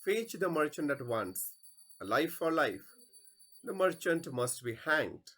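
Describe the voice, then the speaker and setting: A man's voice: fairly high pitch, big pitch swings, slow pace, a bit bright, full character voice. A man playing a rash, commanding king in a stage play, giving orders imperiously. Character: rash, commanding male king passing harsh judgment.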